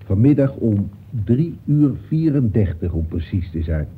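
A deferential, talkative police officer from an old radio play. He speaks fairly low in roundabout official phrases. The recording is narrow, with light noise. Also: sing-song, unhurried. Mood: polite, official. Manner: roundabout, official, punctuates with polite address. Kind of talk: Dutch radio play acting.

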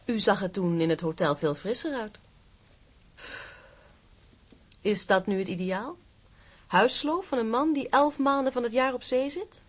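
An actress playing an elegant, scheming journalist in a radio drama, flirtatious and coquettish with a mocking edge. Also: female voice, a little high, moderate pace, natural voice.

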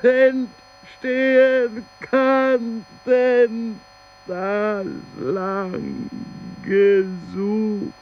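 An actor playing an agitated man in an experimental radio play, reciting in slow, broken phrases with wordplay. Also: high voice, little pitch movement, exaggerated voice. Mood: raving. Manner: drawn-out, fragmented, word-play delivery. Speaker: radio drama performer. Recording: dull broadcast tape with mains hum.

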